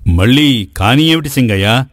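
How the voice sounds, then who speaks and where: A kindly, wise king played by a man talks in a medium-pitched male voice. He explains with fatherly authority in moral-story style. The sound is dry and close. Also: expressive, moderate pace, no echo, lightly acted. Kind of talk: Telugu moral story voice acting.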